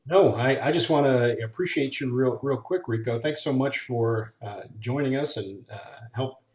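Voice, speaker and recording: A man's voice: medium pitch, normal speed, a little mellow. Male co-host on a dull, echoey line. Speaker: podcast co-host. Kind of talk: casual podcast chat.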